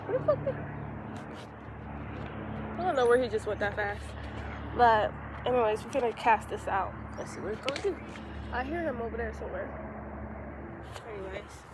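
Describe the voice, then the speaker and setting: Young woman vlogging on her phone, kinda stuffy nose, a bit high, lots of outdoor noise. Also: lively intonation, moderate pace, pauses often. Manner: rambling, chatty, trailing off. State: congested. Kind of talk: casual vlog talk. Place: outdoors by a lake, then at home. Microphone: phone camera.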